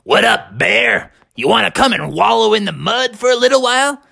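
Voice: medium pitch, lively intonation, moderate pace, creaky, bright, forceful tone, pitched above the performer's own voice, full character voice. A storyteller voicing friendly, laid-back farm pigs who talk in a chill, drawling way. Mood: inviting.

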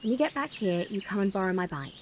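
An actress playing a practical, generous, dryly shrewd wartime countrywoman in a naturalistic audio drama, with a brisk no-nonsense manner. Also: mid-range voice, expressive, slight background noise, close to an everyday voice. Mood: kindly insistent.

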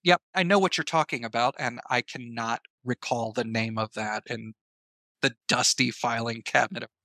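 A man speaking as an interview guest, reflective and casual, pausing thoughtfully and stressing his points. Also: medium pitch, fairly even intonation, pushed and bright, no room sound. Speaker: guest, writer and audio drama creator.

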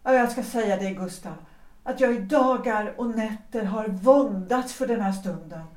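A roomy, slightly distant recording of an actress as a resolute wife in a period radio play. She speaks lines in a measured, formal and deliberate way, at a middle pitch for a woman. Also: darkish tone, natural voice. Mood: firm, pained. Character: resolute married woman leaving her husband for love, 1906. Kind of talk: period stage-play audio drama acting.

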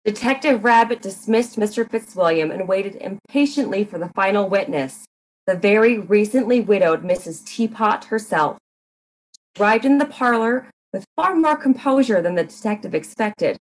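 A woman's voice: mid-range voice, expressive, moderate pace, low-quality recording. A woman host giving a dramatic scripted narration of a mystery story.

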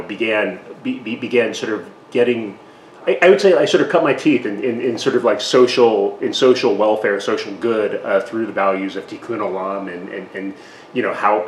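A fairly low-voiced man chatting as an interview guest, self-deprecating and quick to laugh at himself. He often restarts his sentences as he talks. The room is echoey, with a faint background hiss. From Taiwan. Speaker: guest, radio station president. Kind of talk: casual conversational interview. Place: home kitchen.

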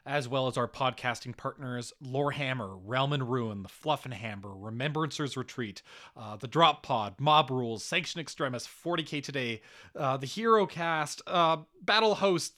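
A man's voice: mid-range voice, sharp tone. A man hosting a podcast, delivering scripted announcements. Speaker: podcast host.

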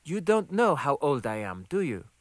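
A man's voice: a little high, sing-song, moderate pace, dry recording, pitched above the performer's own voice. A man voicing a confident, needling woman in an audiobook, with a firm, teasing and insistent delivery. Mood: challenging. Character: confident, needling adult woman, longtime diner friend.

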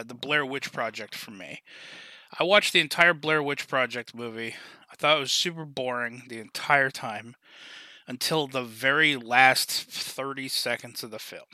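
A man in a casual podcast discussion, talking haltingly and hedging as he goes. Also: mid-range voice, sharp tone, no room sound.

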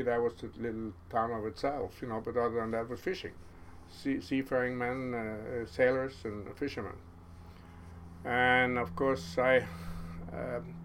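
A man in his seventies with a Norwegian accent, telling stories as an interview guest in a halting way, with many restarts. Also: mid-range voice, fairly bright tone, slight background noise. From Norway. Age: 70s. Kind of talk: casual storytelling interview.

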